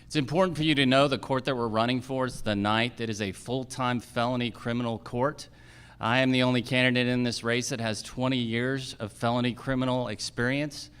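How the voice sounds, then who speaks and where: A man's voice: mid-range voice, steady tone, brisk, fairly bright tone, clean tone. A man speaking as a judicial candidate, giving a campaign speech at a live forum. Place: theater, live event.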